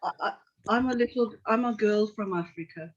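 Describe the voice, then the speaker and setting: A woman's voice: medium pitch, moderate pace. A middle-aged woman from Tanzania, a psychotherapist, telling her life story earnestly in an interview, with frequent restarts and "you know". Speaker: psychotherapist guest. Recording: dull lo-fi call audio.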